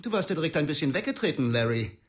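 An actor playing a brisk, cold male dentist who gives curt commands, in a radio drama. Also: on the high side, sing-song.

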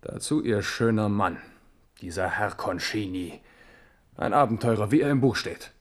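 An actor playing an aging, sardonic and commanding French king in a theatrical radio play style. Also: medium pitch. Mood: agitated, scornful.

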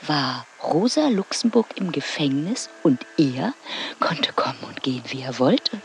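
An actress playing a spirited, evasive old widow in a German radio drama, with emphatic delivery. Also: female voice, low-pitched, sing-song, measured pace, vocal fry, a little hiss or hum, exaggerated voice. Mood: sly, defensive.

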